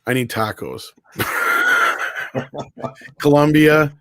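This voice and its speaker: A man's voice: on the high side, extremely slow, sharp tone, no room sound. A man co-hosting a livestream, chatting informally and reading out viewer comments, rambling and swearing freely. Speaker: co-host of an online panel show.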